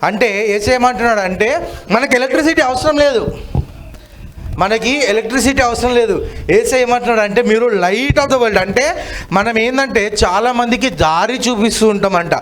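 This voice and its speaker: A man's voice: very high-pitched, normal speed, fairly bright tone, slight background noise. A man teaches a children's Bible lesson interactively, asking questions and prompting repetition. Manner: asks questions and has children repeat.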